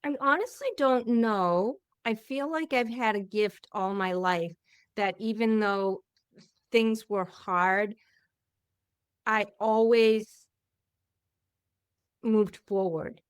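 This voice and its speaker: A middle-aged woman hosting a podcast, talking in a candid, reflective way with a loud voice that carries. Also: medium pitch, slow pace, pauses often, smooth, dry recording, clean studio sound. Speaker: podcast host. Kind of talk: casual interview chat.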